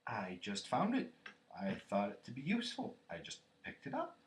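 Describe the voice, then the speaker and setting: A man voicing a humble, slow-witted bear who speaks modestly in a storybook reading. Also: mid-range voice, wildly swooping pitch, a little hiss or hum. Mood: humble puzzlement.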